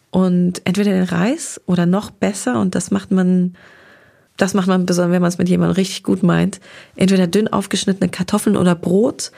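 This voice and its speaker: A woman of middle pitch with a gravelly, vocal-fry texture, chatting as an interview guest. She sounds enthusiastic and warm about her subject and talks in long, winding sentences. Her tone is relaxed and conversational. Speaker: interview guest, restaurant critic.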